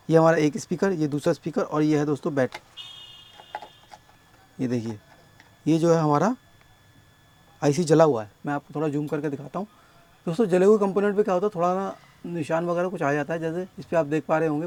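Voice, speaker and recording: A man with a sore, hoarse throat explaining a speaker repair step by step at his workbench, often addressing viewers as friends. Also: fairly high pitch, a little mellow. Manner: explains slowly, keeps calling viewers friends. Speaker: electronics repair technician.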